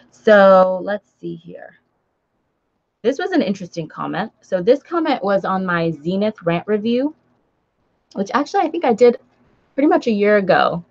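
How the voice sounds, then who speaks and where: A woman with an American accent talks casually to livestream viewers in a low voice with plenty of vocal fry. She stresses her points by repeating them. The audio is a little dull and lo-fi. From Nigeria until age seven, then raised in the United States. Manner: emphatic, repeats phrases for stress, checks in with "right?". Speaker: book vlogger and writer hosting a livestream. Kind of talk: casual livestream chat, responding to comments.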